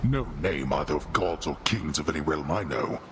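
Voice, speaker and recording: A man playing a stern, haughty god in a video game, with formal, lofty and deliberate speech. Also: very deep voice, animated, slight background noise. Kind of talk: video game character dialogue.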